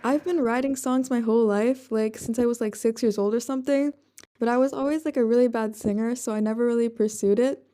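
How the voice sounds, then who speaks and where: A teenage girl raised in the Netherlands answers questions in a shy, halting way, full of little ums. Her voice is slightly high and mellow, picked up by a laptop's built-in mic on a video call from home. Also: fairly even intonation. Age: late teens. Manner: shy, hesitant, lots of ums. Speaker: guest singer-songwriter. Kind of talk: interview answers.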